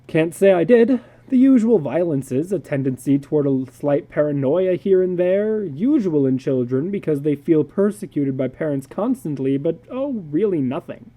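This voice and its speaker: Blunt, dryly amused male psychologist for a storybook, acted by a narrator; raised pitch, lively swings, very soft-edged dark tone. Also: moderate pace, hardly any pauses, natural voice. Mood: breezy. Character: David McLean, brisk blunt worldly male psychologist.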